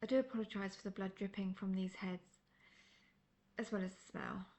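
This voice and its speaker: A woman playing a seasoned, world-weary female monster hunter in a fantasy audio roleplay, speaking in a measured, formal, dryly condescending way. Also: mid-range voice, steady tone, a little mellow, lightly acted. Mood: dry, wry.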